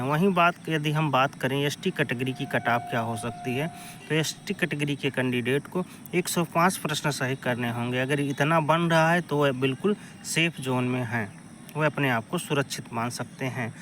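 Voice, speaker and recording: A man's voice: medium pitch, fairly bright tone, a little hiss or hum. A man explaining exam analysis to students in informal, repetitive, step-by-step phrasing. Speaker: exam-coaching presenter.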